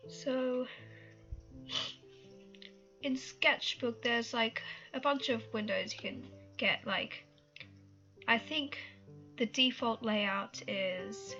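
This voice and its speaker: Young woman, cold-stuffed, rambling and self-deprecating, slightly high voice, muffled lo-fi mic. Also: sharp tone. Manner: rambling, self-conscious, laughs and apologizes often. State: has a cold. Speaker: art tutorial vlogger. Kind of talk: casual screen-recorded tutorial.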